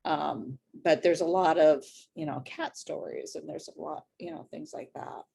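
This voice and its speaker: An American woman from Idaho talking casually as an author in an interview, in a halting, rambling way. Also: low voice, creaky, mellow, breathy edge. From Idaho, United States.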